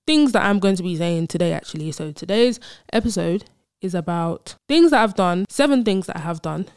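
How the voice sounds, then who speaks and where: A woman's voice: lowish voice, expressive, brisk, a little mellow, clear, resonant tone, no echo, studio quality. A woman, hosting a casual solo podcast and telling stories in a chatty, slangy way. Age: 20s. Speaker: podcast host.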